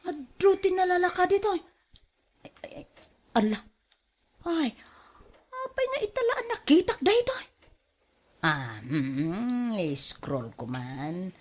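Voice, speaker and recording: A woman's voice: on the low side, darkish tone, full character voice. A woman with an Ilocano accent playing a covetous, scheming wife in a radio drama, exclaiming, wheedling and sighing. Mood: eager. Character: covetous, scheming wife craving a car of her own.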